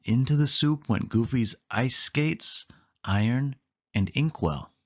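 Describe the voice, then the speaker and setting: A man's voice: mid-range voice, slow pace, muffled recording. A man narrating a children's picture book aloud, leaning emphatically on key words. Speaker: storybook reader.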